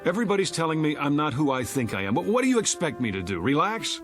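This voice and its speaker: A man's voice: on the high side, very animated, quick pace, over music. A man acting as an indignant forty-year-old fighting to prove who he is, with an agitated, sarcastic dramatic delivery. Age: 40s. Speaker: actor.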